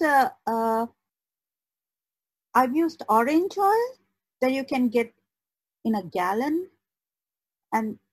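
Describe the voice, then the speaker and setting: Husky, higher-voiced woman chatting. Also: no echo, rough recording. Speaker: podcast guest, artist. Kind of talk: casual chat.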